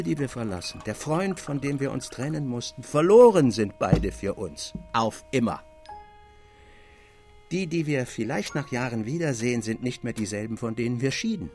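A man's voice: medium pitch, big pitch swings, moderate pace, a little mellow, faint music behind. An actor playing a wistful, disenchanted man of letters in a German radio drama, speaking in a theatrical, declamatory manner. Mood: wistful, brooding.

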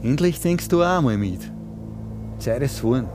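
An actor playing a domineering, sarcastic hijacker with an Austrian accent and colloquial dialect in a radio drama. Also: male voice, medium pitch, expressive, measured pace, music in the background, lightly acted. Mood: bossy, sarcastic. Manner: bossy, sarcastic, lecturing. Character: domineering hijacker, a conspiracy-minded man holding two hostages.

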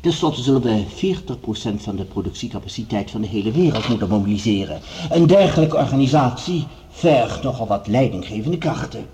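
A male actor plays a commanding leader of a scientific project in a radio play. His voice is warm and dark, with sweeping intonation. He speaks in formal, orotund phrases, over faint background noise. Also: mid-range voice, lively intonation, lightly acted. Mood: formal, rallying. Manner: formal, orotund, measured authority. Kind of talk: radio drama acting, formal speech-making.

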